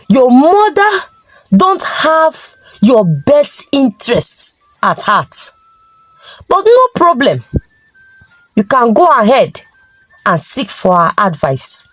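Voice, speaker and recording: Woman playing pleading Nigerian father, solemn advice, animated rise and fall, storybook folktale. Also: fairly high pitch, slow, long pauses, no echo, faint music behind, voice pushed down, exaggerated voice, poor audio. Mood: resigned warning. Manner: solemn, imploring. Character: earnest, pleading village father advising his daughter.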